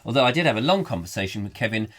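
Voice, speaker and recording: A man hosting a comic live chat show, playful and silly, reading out viewer comments in a croaky voice. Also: fairly low pitch, very animated, racing, fairly bright tone. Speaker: host.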